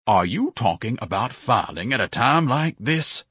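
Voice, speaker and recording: A man's voice: medium pitch, big pitch swings, measured pace, fairly bright tone, breathy edge, no room sound, clean studio sound, pitched above the performer's own voice, full character voice. A man voicing an obese, pompous, indignant man who lectures and complains melodramatically in an audiobook.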